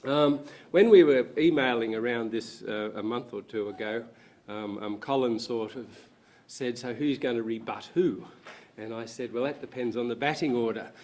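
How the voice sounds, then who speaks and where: Hoarse man giving a talk. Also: medium pitch, moderate pace, a little mellow, a little hiss or hum. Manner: hesitant, frequent ums and restarts. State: hoarse, croaky voice. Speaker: panel speaker, academic. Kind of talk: public lecture talk. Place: university lecture room.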